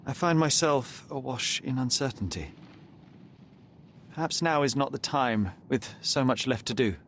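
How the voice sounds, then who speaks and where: An actor playing a young, principled and stubborn detective in a video game, speaking in a measured, formal way. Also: male voice, medium pitch, expressive, fairly bright tone, a little hiss or hum. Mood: resolute. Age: young adult. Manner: measured, earnest, formal speech.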